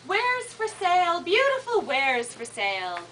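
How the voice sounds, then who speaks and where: A wicked queen disguised as an old peddler woman, acted out by a woman storyteller, coaxes in a sing-song way. The voice is high and swoops a lot. It is picked up from a distance with light noise behind it. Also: huge pitch swings, measured pace, a bit bright, exaggerated voice. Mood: sing-song hawking.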